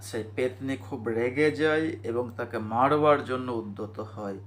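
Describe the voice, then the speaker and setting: A man's voice: mid-range voice, unhurried. A man narrating a scripted cartoon ghost story in a storyteller's style. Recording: clear background noise and ambience.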